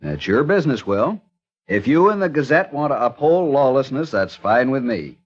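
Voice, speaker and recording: Casting: stubborn, self-righteous sheriff, mid-pitched, on a muffled old radio track. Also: male voice, big pitch swings, normal speed, breathy edge. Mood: stern. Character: stubborn small-town sheriff, man. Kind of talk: 1940s radio acting.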